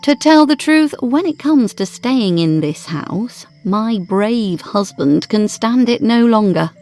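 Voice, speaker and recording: An actress playing a composed, wry and fearless wife in a period ghost story, measured, theatrical and dryly pointed. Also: female voice, on the high side, animated, darkish tone, faint music behind. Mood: wry, exposing. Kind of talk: period ghost story audio drama acting.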